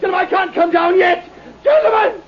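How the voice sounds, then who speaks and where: An actor playing a terrified, desperate gentleman who pleads hysterically for his life in a radio horror drama, on an old recording. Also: very high-pitched, brisk. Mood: panicked. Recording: dull old radio recording, crowd behind.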